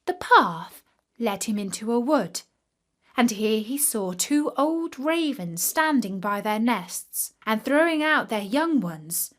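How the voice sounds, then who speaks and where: Slow, expressive woman storyteller. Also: on the high side. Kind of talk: scripted children's fairy tale narration.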